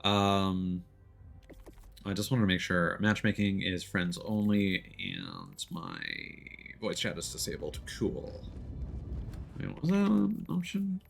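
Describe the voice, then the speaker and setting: A man with a low voice gives casual gameplay commentary as a streamer. He explains things in a hesitant way, thinking aloud as he goes, with music playing behind him. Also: sing-song, slow, creaky. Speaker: video game streamer.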